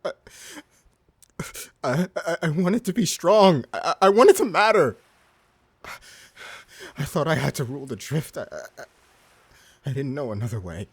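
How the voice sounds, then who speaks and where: Broken pirate overlord, gravelly and anguished. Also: male voice, a little high, lively intonation, unhurried, long pauses, heavily acted. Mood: tearful remorse. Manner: stammering, anguished pleading. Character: defeated blind pirate overlord, desperate, broken, grieving. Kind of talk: dramatic audio roleplay acting.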